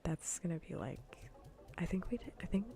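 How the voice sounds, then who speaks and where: Female ASMR game streamer: soft, mellow, gravelly fry, whisper-prone, relaxed rambling delivery on a close mic. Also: lowish voice, normal speed. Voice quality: soft, breathy, often drifting into whispers. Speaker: livestreamer hosting a cozy gaming stream. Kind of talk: casual ASMR gaming stream chat. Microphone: close ASMR microphone.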